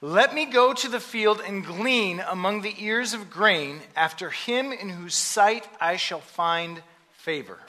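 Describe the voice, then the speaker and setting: A man preaching a sermon in short phrases, pausing so an interpreter can translate. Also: high-pitched, sharp tone. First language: English. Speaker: pastor. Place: church sanctuary.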